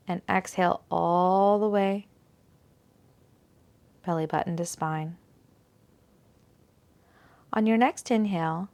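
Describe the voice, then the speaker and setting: Very slow, low-voiced woman guiding meditation. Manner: soothing, unhurried, gentle instruction with long pauses. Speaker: guided meditation teacher. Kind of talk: guided yoga nidra meditation.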